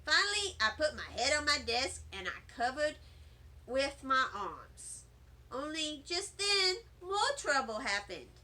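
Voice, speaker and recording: A woman reading a children's storybook as an excitable, dramatic little first-grade girl, with childlike grammar and emphatic delivery. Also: sits high, takes it slowly, exaggerated voice. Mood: breathless, dramatic. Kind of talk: children's storybook read-aloud.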